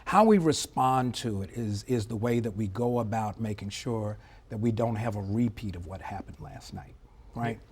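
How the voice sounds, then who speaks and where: A middle-aged man speaking as an expert interview guest, explaining in a measured, emphatic way and often checking in with a short tag question. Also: on the low side, darkish tone, slightly rough. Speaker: former air traffic controller, interview guest. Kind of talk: live TV news interview, explanatory commentary.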